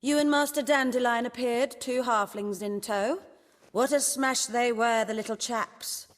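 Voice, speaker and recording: A woman's voice: on the high side, a little slow, close to an everyday voice. A woman playing a flirtatious, courtly hostess of a pleasure house in a fantasy video game, with a theatrical way of talking. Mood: coy, welcoming. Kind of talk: dramatic video game dialogue.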